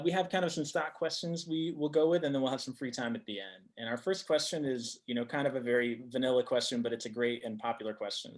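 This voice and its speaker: A man hosting an interview, asking stock questions in a relaxed, friendly way with frequent "uh". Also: mid-range voice. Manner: relaxed, friendly, uses "uh" and "kind of".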